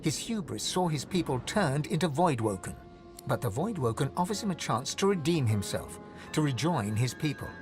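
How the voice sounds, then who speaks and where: A solemn fantasy game narrator with a slightly high male voice and a very animated line. He tells the adventure dramatically, addressing the listener directly, over clear background music. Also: normal speed. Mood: grave, suspenseful.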